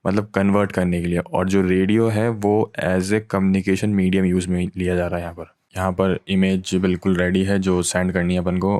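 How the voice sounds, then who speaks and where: Tutorial host, male. Low voice, little melodic movement, informal step-by-step explaining. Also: darkish tone, clear, resonant tone, no echo, studio quality. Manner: informal, mixes in English tech terms.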